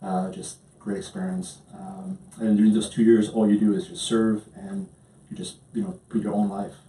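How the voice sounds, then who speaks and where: A man's voice: on the low side, normal speed, dark tone. A man in his thirties from France with a French accent, telling his life story as a podcast guest, with many ums and restarts. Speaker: interview guest. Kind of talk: casual storytelling. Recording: roomy, distant mic.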